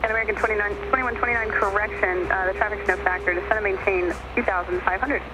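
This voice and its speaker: Fast female air traffic controller on radio. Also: mid-range voice, steady tone, music in the background. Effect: aviation radio. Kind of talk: brisk procedural radio instructions. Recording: noisy aviation radio heard in cockpit.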